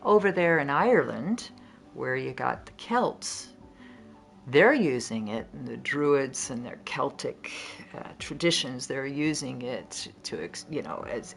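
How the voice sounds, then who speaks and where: A woman's voice: a bass voice, lively intonation, unhurried, vocal fry, soft background music. A woman explaining herbal lore in a chatty, casual way, with some fillers.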